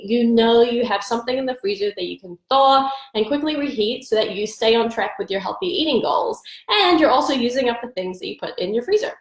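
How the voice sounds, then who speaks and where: A congested woman with a stuffy nose talks on a live stream, upbeat and chatty as she gives food tips. Her voice sits a bit high, and the room around her rings with echo. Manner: upbeat, chatty, greets viewers by name. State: congested from allergies. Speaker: nutrition coach hosting a live stream. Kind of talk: casual live-stream advice. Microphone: phone or webcam for a live stream.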